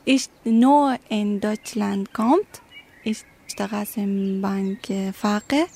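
An eighteen-year-old woman from Afghanistan speaking halting beginner German, searching for her words. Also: mid-range voice, warm tone, slight background noise. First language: not German. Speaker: interviewee.

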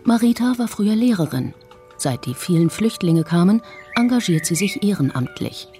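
A woman narrating a radio documentary in a calm, measured first-person voice. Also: medium pitch, soft background music. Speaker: feature author and narrator.

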